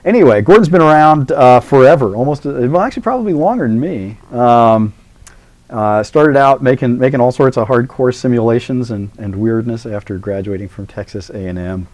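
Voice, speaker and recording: A man's voice: medium pitch, fairly quick, a little mellow. A middle-aged man introducing a guest in a university lecture, chatty and rambling, full of filler words and self-mocking asides. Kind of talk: live classroom lecture introduction.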